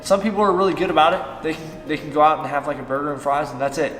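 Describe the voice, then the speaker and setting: Fitness vlogger, man, early thirties, relaxed rambling delivery, roomy echo with soft music behind. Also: fairly high pitch, fast talker. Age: 30s. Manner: chatty, loose and rambling, with many fillers. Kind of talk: casual Q and A vlog. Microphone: on-camera shotgun mic.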